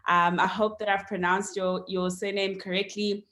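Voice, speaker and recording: A woman's voice: mid-range voice, little pitch movement, moderate pace, fairly bright tone. A woman, hosting an online interview and welcoming her guest, with frequent ums along the way. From South Africa. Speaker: host.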